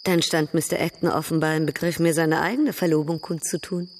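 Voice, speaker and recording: An actress playing a worldly, proud baroness in a German radio drama, speaking with polished irony. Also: female voice, low voice, fairly even intonation, a little fast, a little mellow, a little hiss or hum, lightly acted. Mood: ironic.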